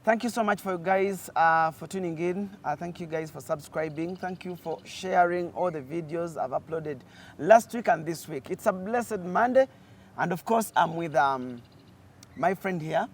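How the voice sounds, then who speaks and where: A man's voice: fairly high pitch, moderate pace. A Ugandan man hosting a casual outdoor interview, chatty and full of fillers. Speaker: host. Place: outdoors in nature.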